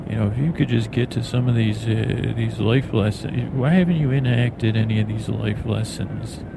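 A man hosting a bedtime podcast in a meandering, halting ramble, stammering and repeating words. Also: on the low side, vocal fry. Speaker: podcast host. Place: on an airplane in flight. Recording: steady airplane cabin noise behind voice. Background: airplane cabin drone.

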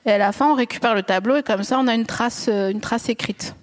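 A woman with a fairly high voice hosts a live podcast. She is playful and teasing with her guest and laughs often as she talks. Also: fast, background noise. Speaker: podcast host. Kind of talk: casual chat before a live audience.

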